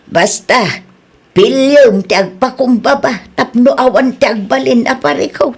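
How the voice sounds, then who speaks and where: Radio drama mother-in-law played by a woman: Filipino, middle-aged, higher-pitched, slightly husky, fretful and forever admonishing. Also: measured pace, exaggerated voice. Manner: nagging, exclaiming. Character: fretful older mother-in-law, scolding and worrying over family. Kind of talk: Ilocano radio drama acting.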